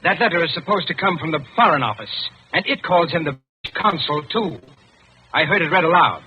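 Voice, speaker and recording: A man playing a shrewd, commanding colonial governor in old-time radio drama, speaking deliberately and sardonically. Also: a little high, lively intonation, sharp tone, a little hiss or hum. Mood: accusing. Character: shrewd, commanding British colonial governor, calmly exposing plotters. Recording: muffled vintage radio recording, music behind.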